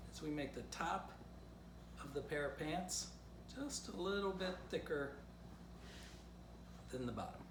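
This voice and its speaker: Man reading to kids, roomy distant recording. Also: a little high. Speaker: children's author reading and teaching drawing. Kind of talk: storybook read-aloud and casual drawing lesson. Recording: roomy, distant mic, faint background noise.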